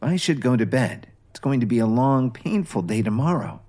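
A man's voice: mid-range voice, expressive, moderate pace, mellow, natural voice. A man voicing a tired, earnest wife who speaks with firm seriousness. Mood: weary. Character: weary, earnest wife running a failing company.

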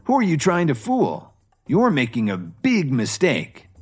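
Male reader voicing a brash drunk young man, raised pitch, loud indignation. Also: wildly swooping pitch, a little slow, faint music behind, heavily acted. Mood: outraged.

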